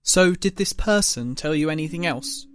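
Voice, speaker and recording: A man playing a defensive divorced father in an audio drama, guarded and quick to snap. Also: on the high side, lively intonation, fairly bright tone, a little hiss or hum. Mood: guarded, gentle. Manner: guarded, snapping when provoked. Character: immature, defensive divorced young father, trying to be gentle.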